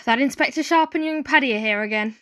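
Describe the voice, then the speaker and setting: A woman's voice: sits high, fairly quick, sharp tone. A woman playing a sarcastic, drawling household maid in a comedy audio drama. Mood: sardonic. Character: sardonic, cheeky household maid. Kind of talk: hobby comedy murder-mystery audio drama.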